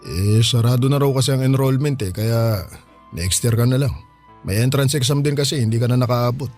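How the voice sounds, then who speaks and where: A man's voice: mid-range voice, brisk, a little mellow, faint music behind, close to an everyday voice. An actor playing a persuasive, evasive middle-aged father with a Filipino accent, casual and coaxing, in a radio drama.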